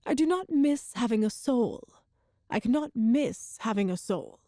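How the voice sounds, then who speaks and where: A woman playing a cruel, seductive evil empress in an ASMR roleplay drama, speaking with imperious, deliberate menace. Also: fairly high pitch, expressive, warm tone, no echo, clean studio sound. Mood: haughty persuasion.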